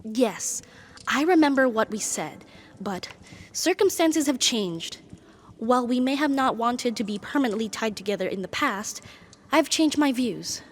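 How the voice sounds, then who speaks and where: A woman voicing a proud, possessive, lovesick female demon in an ASMR roleplay, speaking in formal, deliberate, dramatic phrases. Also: fairly high pitch, expressive, a little hiss or hum. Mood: insistent. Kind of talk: ASMR romantic audio roleplay.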